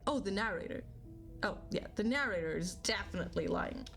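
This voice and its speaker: A woman's voice: mid-range voice, animated, fairly quick, vocal fry, fairly bright tone, faint music behind. A woman streaming a game, playful and teasing, reading her choices aloud as she plays. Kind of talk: casual game commentary.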